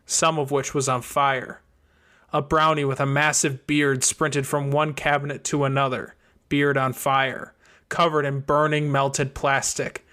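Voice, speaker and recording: A man's voice: mid-range voice, a bit bright. A man reading a scripted fiction audiobook as its narrator. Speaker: audiobook reader.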